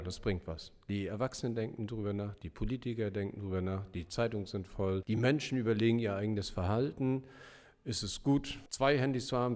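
Low-voiced man in a reverberant room. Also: a little mellow. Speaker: interviewee giving opinion. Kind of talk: emphatic spoken opinion with rhetorical questions.

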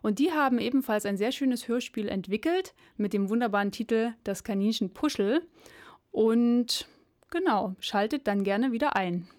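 A woman's voice: on the high side, moderate pace, a little mellow. A woman presenting a school radio show, making friendly announcements with a few filler sounds.

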